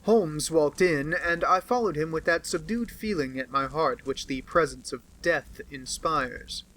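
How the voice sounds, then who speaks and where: A man reading a classic detective novel aloud as a narrator, with measured storytelling. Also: on the high side, a bit bright, rough recording. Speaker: audiobook reader.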